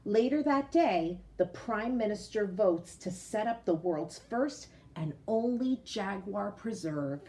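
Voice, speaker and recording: A woman reading a picture book aloud to children like a teacher, expressive, pausing to explain and put questions directly to young listeners. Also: mid-range voice. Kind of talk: storybook read-aloud with explanatory asides.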